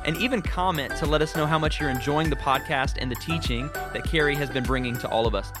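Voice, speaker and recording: A man's voice: on the high side, quick pace. Man reading a podcast intro over music. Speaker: announcer.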